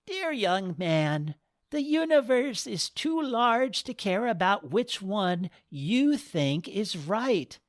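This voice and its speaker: Tipsy old goddess lady, high squeaky lilt, teasing and blunt, narrator doing the voice. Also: sing-song, slow, dry recording, clean studio sound. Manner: scolding, wry.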